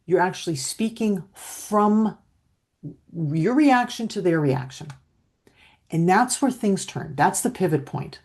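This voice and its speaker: A middle-aged woman with a low voice, coaching on communication in a slow, steady, reflective way, often checking in with "right?". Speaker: emotional intelligence coach. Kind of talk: calm teaching talk to camera.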